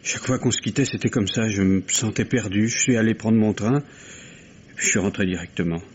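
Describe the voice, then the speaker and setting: An actor playing a distraught, bitter young French lover under suspicion in a 1950s-style radio drama, with hesitant, broken phrasing. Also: male voice, fairly low pitch, fairly quick, natural voice. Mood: lost, hesitant. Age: young adult. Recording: dull, noisy vintage radio recording.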